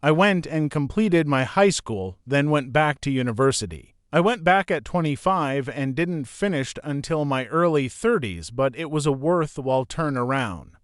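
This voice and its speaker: A man reading forum posts and replies aloud in a steady, even narration. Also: fairly high pitch, moderate pace, hardly any pauses, no echo, clean studio sound. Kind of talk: scripted narration.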